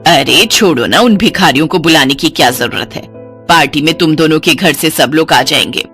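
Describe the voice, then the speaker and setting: A woman's voice: deep voice, moderate pace, voice pushed down. Lady doing a bossy older indian family-drama mother-in-law, scolding and snobby, music underneath. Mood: dismissive, scornful. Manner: scolding with sharp rhetorical questions.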